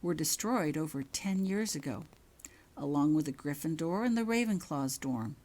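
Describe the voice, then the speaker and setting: Woman voicing a stern, low-voiced wizard professor. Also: lively intonation, a little mellow. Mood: probing. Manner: commanding, measured questioning. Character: stern, calculating adult male wizard professor.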